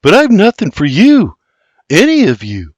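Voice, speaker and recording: A man's voice: high-pitched, wildly swooping pitch, unhurried, slightly rough, no echo, studio quality, pitched above the performer's own voice, heavily acted. A man voicing a shy, humble young Irish farmhand with an Irish accent who speaks earnestly and stammers.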